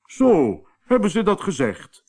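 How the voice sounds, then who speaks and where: Man voicing a blustering, high-pitched indignant toad. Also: wildly swooping pitch, slow pace, darkish tone, breathy edge, heavily acted. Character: indignant, proud male toad, blustering and rash.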